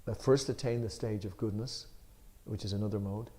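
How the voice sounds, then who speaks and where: A man leading a scripture class in a temple, reading commentary aloud with sudden loud stresses and then explaining in a relaxed, chatty way. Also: lowish voice, fairly quick. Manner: reads with sudden loud emphasis on key words. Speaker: Gita class speaker.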